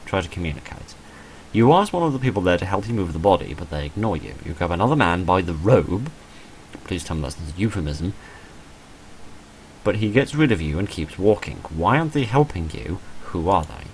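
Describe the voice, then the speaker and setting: A man's voice: low-pitched, big pitch swings, a little fast, a little hiss or hum, poor audio. A man reading visual novel text aloud dramatically, with dry, understated wry commentary in between. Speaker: gamer recording a playthrough.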